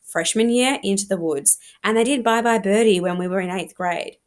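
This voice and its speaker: A woman's voice: mid-range voice, normal speed, lightly acted. A woman voicing a theatrical, teasing, self-assured teenage boy from a novel in a dramatic, emphatic way. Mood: smug, theorizing.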